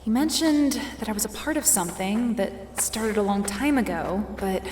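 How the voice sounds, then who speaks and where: A woman's voice: medium pitch, lively intonation, moderate pace, lightly acted. A woman playing a wary, suspicious teenage girl pondering to herself in an audio drama inner monologue. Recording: echoey reverb with background noise.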